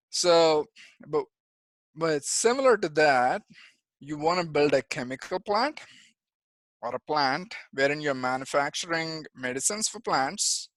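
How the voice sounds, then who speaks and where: A man in his thirties from India, an engineer giving a career-day talk to children over a video call, explaining things simply with frequent pauses and restarts. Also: on the high side, no room sound. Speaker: guest engineer and project manager. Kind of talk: presentation for young children.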